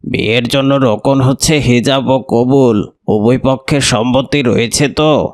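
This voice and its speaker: A man's voice: medium pitch, fairly even intonation, measured pace, lightly acted. A man voicing a solemn mosque cleric in a moral cartoon, speaking in a formal, declarative way. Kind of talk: Islamic moral cartoon dialogue.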